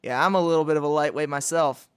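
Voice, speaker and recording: A man's voice: fairly high pitch, steady tone, quick pace, fairly bright tone, smooth. A man chatting casually with friends in an agreeable, easygoing way. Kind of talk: casual chat among friends.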